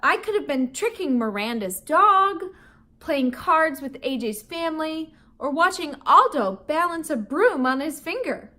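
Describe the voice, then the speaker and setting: A woman teacher reads a children's chapter book aloud to young listeners and stops to teach vocabulary words. Her voice sits high and bright, and it swings a lot as she goes. She laughs often and adds playful, chatty asides. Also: animated, a little slow.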